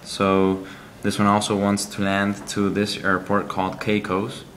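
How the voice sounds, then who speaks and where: A man reviewing a game app, walking through its screens in a halting, explanatory way with frequent ums. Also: on the low side, monotone, fairly bright tone, slight background noise.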